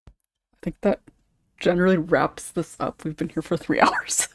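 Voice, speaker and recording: A woman's voice: on the low side, steady tone, normal speed, husky, no room sound, clean studio sound. A woman hosting a casual group podcast, speaking informally with a tongue-in-cheek streak.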